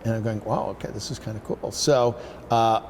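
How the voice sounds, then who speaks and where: A man's voice: fairly low pitch, steady tone, fairly quick, slight background noise. A man hosting an interview show, chatting casually with many fillers and friendly questions. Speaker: talk show host.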